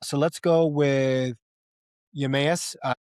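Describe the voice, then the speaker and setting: A man's voice: mid-range voice, steady tone, slow, darkish tone, smooth, no echo, studio quality. A man hosting a podcast in a casual, conversational film discussion. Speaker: podcast co-host.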